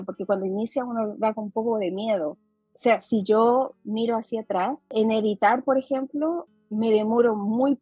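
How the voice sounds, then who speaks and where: Woman, mixed Chilean accent, fairly high, husky voice, dry sound, podcast host, chatty with fillers. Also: no room sound, rough recording. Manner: chatty, warm laughs, many fillers. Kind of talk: casual chat over video call. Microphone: video call.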